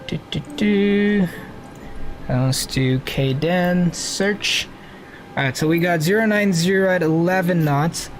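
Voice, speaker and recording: A chatty man with a slightly higher voice, running a flight sim stream and talking through each cockpit setting out loud. He breaks off to welcome and thank viewers warmly. Clear music plays under his voice throughout. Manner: chatty, thinks aloud while setting up the cockpit. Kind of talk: casual live-stream commentary.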